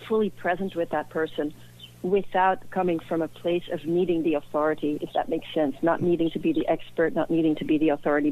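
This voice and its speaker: A woman's voice: fairly low pitch, warm tone. A woman from Belgium, a guest and author, talking earnestly and reflectively in an interview. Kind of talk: personal interview. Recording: tinny phone-like line.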